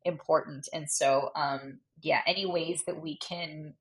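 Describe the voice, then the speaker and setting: A woman's voice: low voice, steady tone, a little slow, poor audio. A woman author, a guest on a podcast, talking in an earnest, reflective way with frequent fillers. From Cuban American. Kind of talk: casual conversational interview.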